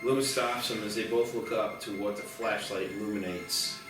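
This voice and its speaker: A man's voice: lowish voice, fairly even intonation, normal speed. A man reading scripted screenplay directions as the narrator of a table read. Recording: very echoey, distant, music behind.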